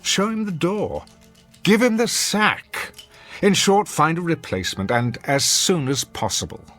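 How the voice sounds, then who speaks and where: A man's voice: a little high, lively intonation, a little slow, a bit bright, soft background music. A man playing a domineering, sarcastic wealthy husband in a radio drama, speaking with haughty, formal diction. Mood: clipped, insinuating. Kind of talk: classic radio drama acting.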